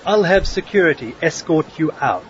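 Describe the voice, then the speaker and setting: Muffled, hissy audio; male actor as a sneering rival scientist villain, fairly high voice, pompous and menacing, comedy radio play. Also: expressive, moderate pace, natural voice. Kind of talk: comic audio drama villain acting.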